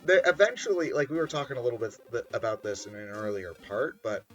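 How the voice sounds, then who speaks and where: A man's voice: mid-range voice, quick pace, muffled recording, faint music behind. A man giving casual commentary on a game in a group chat.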